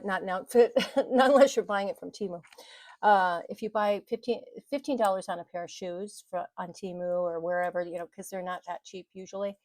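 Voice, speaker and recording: A middle-aged woman chats to camera about money in a loose, meandering way, stopping to correct herself and laughing now and then. Her voice sits at an ordinary pitch for a woman. The sound is close and dry, with no room around it. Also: darkish tone, dry recording. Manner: rambling, self-correcting, laughs often. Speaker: vlogger. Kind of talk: casual chat to camera. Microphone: mic on the desk, bumped at times.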